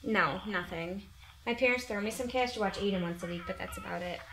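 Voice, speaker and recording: A woman's voice: mid-range voice, brisk, close to an everyday voice. A young woman playing a weary, sarcastic babysitter in a naturalistic short film, conversational and exasperated. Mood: venting, wry. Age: young adult. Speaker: actress in a short horror film. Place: indoors in a house living area. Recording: echoey room with background noise.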